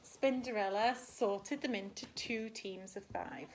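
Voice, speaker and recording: A woman, a primary school teacher, reading a picture book expressively to children and pausing to put questions to her listeners. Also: mid-range voice, slight background noise. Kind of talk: animated picture-book storytelling with asides.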